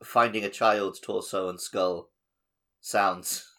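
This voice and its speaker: A man's voice: low voice, steady tone, takes it slowly, a bit bright. A man co-hosting a video game podcast in casual conversation. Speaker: podcast co-host.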